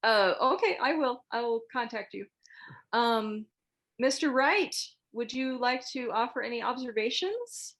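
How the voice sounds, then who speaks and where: Higher-voiced woman moderating a casual discussion. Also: expressive, unhurried, fairly bright tone. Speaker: host moderating feedback after a script table read.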